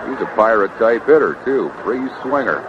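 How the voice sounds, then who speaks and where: A man's voice: mid-range voice, lively intonation, normal speed. A man calling baseball play-by-play on the radio in short, clipped phrases. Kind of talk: live radio baseball commentary. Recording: noisy, dull vintage radio broadcast. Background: loud outdoor stadium ambience.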